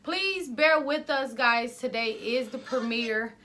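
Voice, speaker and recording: A woman from Ohio co-hosting a casual podcast, loud and rambling. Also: a little high, slow, a little hiss or hum. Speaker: podcast co-host.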